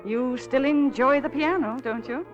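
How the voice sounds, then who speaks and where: Woman acting an arch, high-voiced society lady. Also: normal speed, natural voice. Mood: arch, teasing. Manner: arch, effusive. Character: gossipy, gracious society hostess, titled lady. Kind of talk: old-time radio drama acting. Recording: dull vintage radio recording with music.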